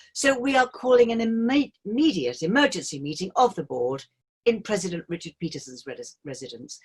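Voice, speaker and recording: Casting: woman as ship's captain for a play reading, raspy-edged and lively, formal, polished, relentlessly pleasant announcements. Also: medium pitch. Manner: formal, officiously upbeat announcer delivery. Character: chirpy ship's captain making a public announcement. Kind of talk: staged reading, public address announcement.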